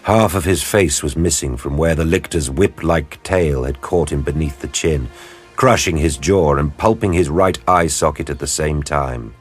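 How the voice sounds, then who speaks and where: An extremely low-voiced man reads dramatic scripted narration. His tone is husky and grave, the storytelling deliberate, with music underneath.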